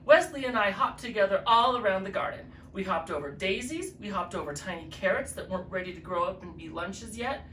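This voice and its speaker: Drag queen reading a picture book aloud, expressive, mid-pitched, recorded from a distance in a roomy space. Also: fairly quick, few pauses. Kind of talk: children's story reading. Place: living room with fireplace, indoors. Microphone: distant camera mic. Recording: echoey room, distant mic, faint noise.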